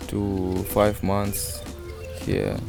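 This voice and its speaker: A man's voice: fairly low pitch, completely flat delivery, very slow, creaky. A man with a Russian accent, a tourist chatting in careful English and laughing easily. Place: outdoors in a tourist town. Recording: background music over the talk.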